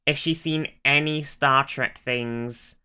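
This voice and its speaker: A man co-hosting a podcast, chatting casually about sci-fi in an animated, excitable way, with some swearing. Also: medium pitch, slow, smooth. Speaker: podcast co-host.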